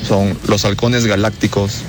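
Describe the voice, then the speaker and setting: A man's voice: fairly low pitch, level tone, unhurried, over music. A man leaving a casual voice message as a listener to a radio show.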